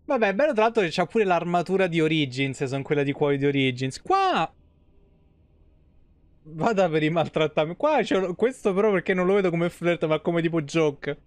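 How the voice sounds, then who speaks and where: A male streamer chats casually over a game. His voice is on the high side for a man and sounds dry and close, with an informal manner. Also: lively intonation, normal speed, dry recording. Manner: informal, chatty, swears. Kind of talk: casual live gameplay commentary. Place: small indoor room.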